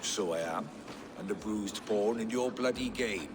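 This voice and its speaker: A man's voice: lowish voice, a little slow, close to an everyday voice. A man playing an ambitious, resentful captive prince in a video game, scheming and formal. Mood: bitter, scheming. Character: ambitious, resentful captive royal brother, male. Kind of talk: video game cutscene acting. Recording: clearly noisy ambient background.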